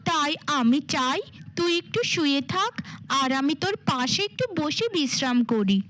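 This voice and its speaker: A woman's voice: high voice, expressive, normal speed, fairly bright tone. A woman voicing a scheming stepmother in a cartoon fairy tale, with a theatrical manner. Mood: sugary, coaxing. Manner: theatrical, coaxing and cajoling. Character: scheming, cruel stepmother feigning sugary motherly love.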